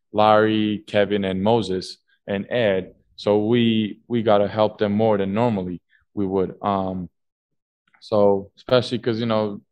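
A young man, a basketball player, answering postgame press questions haltingly, full of fillers and restarts, at a table mic in a team media room. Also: on the low side, clean tone, no echo, muffled recording. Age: young adult. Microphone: table mic on stand.

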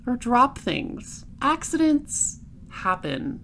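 A woman playing a shrewd, dry space patrol detective in an audio drama, explaining things deliberately. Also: fairly high pitch, very animated, vocal fry, pushed and bright, a little hiss or hum, heavily acted. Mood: confident, explaining.

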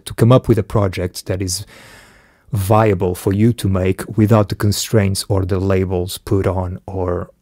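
Reflective low-voiced Portuguese-raised man. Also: level tone, a little slow, warm tone, no echo, clean studio sound. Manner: reflective, hesitant restarts, occasional laughs. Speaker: filmmaker. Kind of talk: conversational podcast chat.

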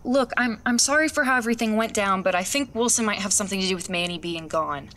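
A woman's voice: medium pitch, a bit bright, slight background noise, lightly acted. A woman acting in a horror audio drama as a frightened, hesitant woman, with music under her voice. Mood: worried, apologetic. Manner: halting, hesitant delivery. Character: frightened woman recounting a disturbing experience, searching for her dog. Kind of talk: horror audio drama, narration and acted scenes.

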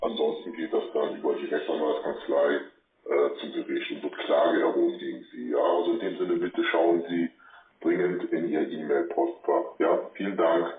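A man's voice: very deep voice, steady tone, moderate pace. A man leaving a formal, insistent payment demand over the phone. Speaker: debt-collection caller. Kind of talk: phone message. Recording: tinny, echoey phone line.

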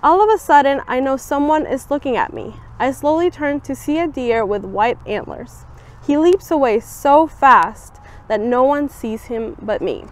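Young woman, higher voice, expressive picture-book read-aloud, outdoor camera recording. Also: a little slow. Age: young adult. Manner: expressive storybook reading with lively voiced quotes. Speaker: student volunteer reader. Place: outdoors on a campus bench.

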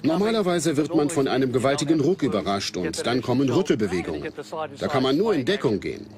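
A man's voice: medium pitch, sing-song, quick pace, over a noisy crowd, close to an everyday voice. A man explaining as an expert in a dubbed documentary. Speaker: earthquake expert.

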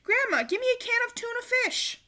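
An eager young boy from a picture book, acted by a woman reading aloud. The voice is high and swoops around brightly, earnest and coaxing, every key word pushed. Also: lively intonation, brisk, heavily acted. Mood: excited. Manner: coaxing, emphatic.

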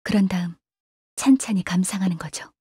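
A young female video game agent, cool, analytical and composed. Her voice is very breathy and mellow, recorded close and dry. She speaks formally, with calm detachment, as if filing a report. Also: lowish voice, a little fast, no room sound. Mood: composed, detached. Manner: calm, detached, formal, refers to herself by name. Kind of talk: scripted game character voice lines.

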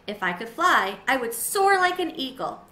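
A woman's voice: sits high, sing-song, moderate pace, bright, forceful tone, pitched above the performer's own voice, heavily acted. A woman voicing an earnest, imaginative young schoolboy in a children's storybook, plain-spoken. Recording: roomy echo, faint background noise.

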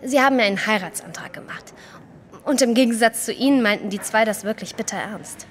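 A woman's voice: fairly high pitch, fairly quick, faint music behind, lightly acted. An actress playing a frightened, indignant young woman in an audio drama, stammering hesitantly at times and speaking emphatically. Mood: indignant, chatty. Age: young adult.

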